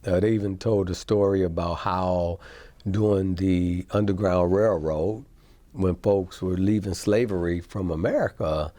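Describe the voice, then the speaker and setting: A man's voice: low-pitched, a little slow, dark tone, dry recording. A man hosting a talk show who speaks in a casual, rambling way and often asks "right?" as he talks.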